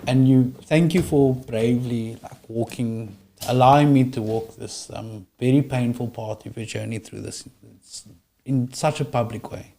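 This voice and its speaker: A man's voice: medium pitch, steady tone, a little slow, vocal fry, mellow, a little hiss or hum. A man hosting an interview in a playful, informal way, often stammering and repeating words. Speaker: host. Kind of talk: interview conversation.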